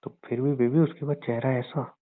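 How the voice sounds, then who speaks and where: फ़ोन पर चिढ़ाता, तंज़ कसता जवान आदमी. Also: male voice, mid-range voice, steady tone, moderate pace. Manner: teasing, sarcastic, totting up sums. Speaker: boyfriend on a phone call. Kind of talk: teasing scripted phone banter. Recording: phone call recording.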